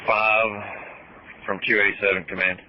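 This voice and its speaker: A man's voice: lowish voice, little pitch movement, moderate pace. A man making brief emergency calls over a two-way radio. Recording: dull lo-fi fire radio.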